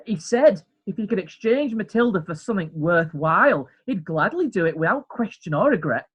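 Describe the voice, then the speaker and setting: A woman's voice: mid-range voice, lively intonation, normal speed, breathy edge, muffled recording. A woman playing a practical farmer husband in a period courtroom radio play, a little hesitant. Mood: earnest. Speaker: defendant.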